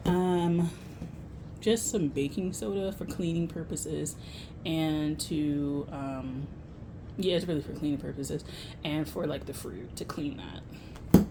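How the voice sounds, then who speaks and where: Low-voiced young American woman, casual vlogging. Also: noisy background. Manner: candid, informal, slangy. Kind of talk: casual grocery haul chat to camera.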